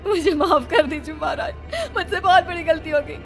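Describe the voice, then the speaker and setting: A woman's voice: very high voice, brisk, faint music behind, a faint crowd. A woman playing a guilt-ridden, desperate mother in a cartoon story, pleading dramatically. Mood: tearful, remorseful. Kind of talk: Hindi cartoon story acting.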